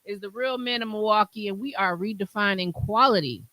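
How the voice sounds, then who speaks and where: A woman's voice: mid-range voice, a little fast, a crowd in the background. Bubbly woman podcast host. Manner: bubbly, laughing often. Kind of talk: casual chat.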